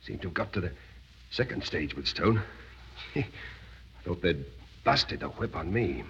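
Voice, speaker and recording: An actor playing a dapper, flippant captured British army officer, breezy and drily witty, in a 1930s radio drama. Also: male voice, deep voice, lively intonation, a little slow, background noise. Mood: wry, weary. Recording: dull 1930s radio broadcast.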